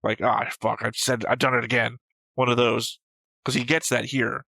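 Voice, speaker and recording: A man's voice: mid-range voice, little pitch movement, normal speed, vocal fry, sharp tone, dry recording, studio quality. A man co-hosting an anime show in casual, opinionated discussion, argumentative and emphatic, swearing freely. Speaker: co-host of an anime reaction show.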